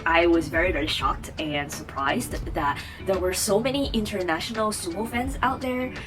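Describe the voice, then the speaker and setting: Woman, twenties, low-pitched, rambling, filler-heavy, music bed behind. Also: normal speed, poor audio. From Taiwan. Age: 20s. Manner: chatty, rambling, many fillers and restarts, laughs often. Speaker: vlogger. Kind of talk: casual chat to camera.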